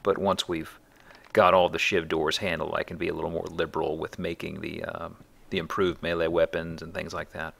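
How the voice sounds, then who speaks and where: Low gravelly man, bright-edged voice. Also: little pitch movement, brisk. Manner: wry, joking asides. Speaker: let's play host and player. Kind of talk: casual gameplay commentary.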